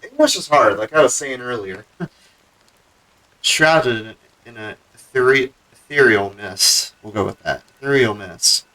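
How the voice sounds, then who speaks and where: A man's voice: mid-range voice, lively intonation, unhurried, bright, forceful tone, poor audio. Casual guy streaming a story game.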